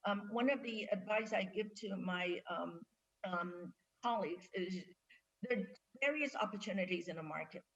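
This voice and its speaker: A woman on a career panel, giving measured advice. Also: medium pitch. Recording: dull lo-fi remote audio.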